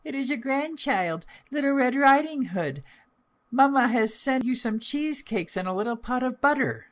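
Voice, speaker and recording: An innocent, obedient little girl from a fairy tale, put on by a man. The voice is pitched far up, swooping and sing-song, with wide-eyed simplicity. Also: lively intonation, normal speed. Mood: polite.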